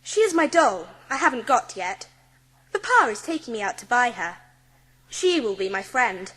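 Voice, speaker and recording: A woman's voice: fairly high pitch, very animated, moderate pace, a bit bright, poor audio. A woman playing an earnest, polite, imaginative seven-year-old girl from a wealthy family in an audiobook drama.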